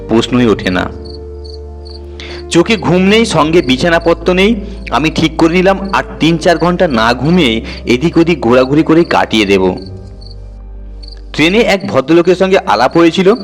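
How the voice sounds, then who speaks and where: A man narrating a horror story in the first person with an expressive, dramatic storytelling delivery. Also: a little high, normal speed, pauses often. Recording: music bed under the voice. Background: music.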